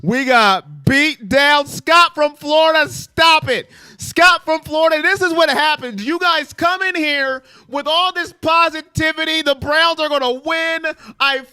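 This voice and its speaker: Man, hoarse, high voice, slow, animated stream host, dry close mic, faint music behind. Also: dry recording. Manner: animated, teasing chat with viewers. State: hoarse from yelling. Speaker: sports livestream host. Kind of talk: live fan pregame chat.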